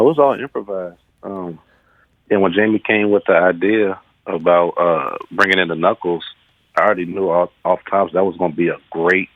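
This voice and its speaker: Deep-voiced man on a dull connection. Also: dry recording. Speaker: musical director, interview guest. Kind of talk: casual interview talk.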